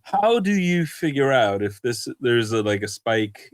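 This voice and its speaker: A man's voice: mid-range voice, sing-song, measured pace, dry recording, clean studio sound. A man co-hosting a podcast in casual chat, dropping sardonic asides. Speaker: podcast co-host.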